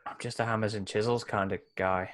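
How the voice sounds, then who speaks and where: A man's voice: on the low side, fairly even intonation, a little fast, a bit bright. A man playing a tabletop game, bantering casually and weighing in bluntly and decisively.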